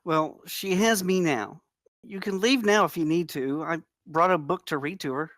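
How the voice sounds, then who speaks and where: A man acting an earnest, higher-voiced devoted date. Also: normal speed, a bit bright, no room sound, clean studio sound, lightly acted. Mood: earnest, caring. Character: Brianne, gentle, devoted young woman on a new date. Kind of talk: screenplay table read.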